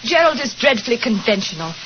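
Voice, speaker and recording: A woman's voice: a little high, big pitch swings, quick pace. A composed young lady in a radio mystery. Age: young adult. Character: young woman, gentle but resolute cousin. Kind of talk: 1940s radio acting. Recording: dull lo-fi 1940s radio broadcast with faint hiss.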